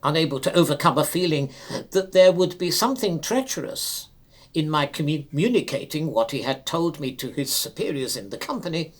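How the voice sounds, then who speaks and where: A man narrating a classic literary story aloud in a measured, formal and expressive dramatic reading style. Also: on the high side.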